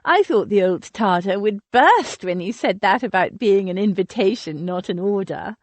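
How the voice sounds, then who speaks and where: A woman's voice: mid-range voice, lively intonation, moderate pace, no room sound, natural voice. A woman voicing a confident, wry young woman in a storybook reading, speaking with a teasing, playful edge.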